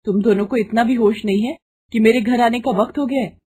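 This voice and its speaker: A woman plays a proud, sharp-tongued young daughter-in-law in an audio family story. She is bitter and sarcastic, forever complaining, with a husky edge and a pitch that climbs above the storyteller's. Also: a little fast. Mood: sarcastic, angry. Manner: taunting, complaining.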